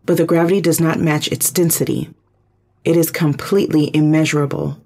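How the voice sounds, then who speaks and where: Sci-fi radio play: ship computer played by an actor, formal, measured, literal, husky edge. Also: medium pitch, little pitch movement, darkish tone, lightly acted. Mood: formal, calm. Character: calm, precise spaceship computer intelligence. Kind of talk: audio drama, analytical reporting.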